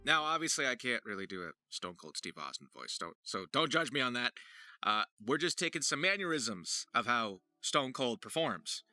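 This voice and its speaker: Male tutorial host, sharp and animated, jokey delivery, music behind. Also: mid-range voice, moderate pace, no echo. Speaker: voice actor hosting a tutorial. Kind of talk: casual tutorial chat.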